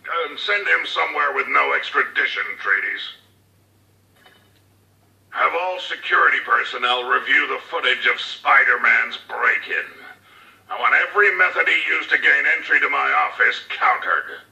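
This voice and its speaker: An actor playing a cold, calculating crime boss tycoon dictating orders in a measured, menacing, condescending way for a video game audio log. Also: male voice, medium pitch, animated, gravelly, pushed and bright, exaggerated voice. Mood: stern, threatening. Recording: roomy, slightly distant audio log.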